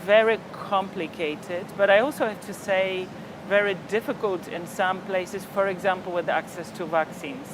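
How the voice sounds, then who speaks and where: High-voiced older man. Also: steady tone, background noise. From Poland. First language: Polish. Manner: reflective and measured, frequent hesitations. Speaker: ambassador. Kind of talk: television interview.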